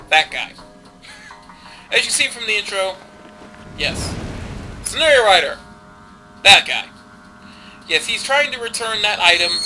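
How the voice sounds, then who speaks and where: A man's voice: high voice, pushed and bright, low-quality recording. A man casually commentating a game he is playing, rambling and offhand with lots of fillers. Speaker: let's play host.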